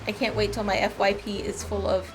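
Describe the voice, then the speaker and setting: A woman's voice: medium pitch, flat delivery, fairly quick. A woman doing casual, playful gameplay commentary as a streamer, chatting away to herself. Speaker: game streamer. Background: game music.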